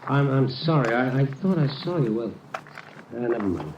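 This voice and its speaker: A man's voice: mid-range voice, sing-song, moderate pace, background noise, natural voice. Man acting young reporter narrator in muffled old radio. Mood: casual, probing. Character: young male reporter narrating his own mystery, brisk, wry. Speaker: reporter narrator and lead character. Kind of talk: old-time radio detective drama acting, first-person narration. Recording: muffled, distant, echoey old radio recording.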